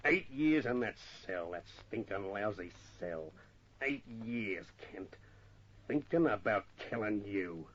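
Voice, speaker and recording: An actor playing a vengeful ex-convict gangster in an old-time radio crime drama, brooding and threatening, with a husky voice. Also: male voice, lowish voice, lively intonation, slow. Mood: bitter. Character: vengeful, menacing male ex-convict gangster with a grudge. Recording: dull old radio recording.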